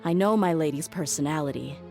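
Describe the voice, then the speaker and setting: A woman playing a loyal, level-headed young mercenary in an anime video game, speaking in a firm, measured, frank way. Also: sits low, a little mellow, soft background music. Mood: resolute, loyal. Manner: measured, thoughtful, plain-spoken.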